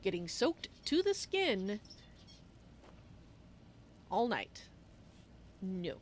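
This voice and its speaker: A woman voicing a gentle, fussy female cat-folk traveler in a game roleplay, musing aloud about herself in the third person. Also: medium pitch, extremely slow. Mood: weary, grumbling. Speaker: livestream roleplayer. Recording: steady background noise.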